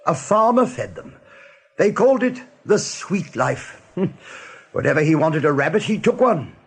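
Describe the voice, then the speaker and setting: An actor playing a world-weary, uneasy rabbit captain in a radio play, husky and guarded in his speech. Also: a little high, sing-song, a little slow, exaggerated voice. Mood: uneasy recollection. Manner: hesitant, guarded.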